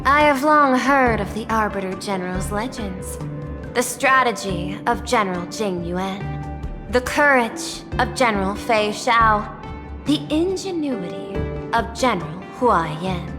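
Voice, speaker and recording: Elegant game robot lady, calm, calculating, formal diction, deliberate slow delivery, long reverb. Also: fairly high pitch. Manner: measured, formal, analytical wording. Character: refined female Intellitron leader, calm, calculating, formally courteous. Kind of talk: scripted game voice acting. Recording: long reverb, background music.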